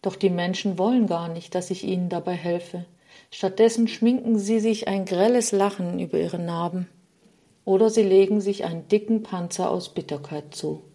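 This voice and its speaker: A woman gives voice to Sadness, a dejected female figure who feels nobody wants her. She laments in a mellow, fairly low voice, a touch lifted above plain reading. A quiet storybook telling. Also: moderate pace. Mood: lamenting.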